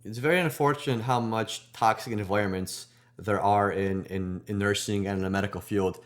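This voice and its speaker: A man's voice: lowish voice, fairly even intonation, moderate pace. A man co-hosting a nursing podcast, talking in earnest casual chat and often repeating words as he restarts. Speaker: nurse podcast co-host.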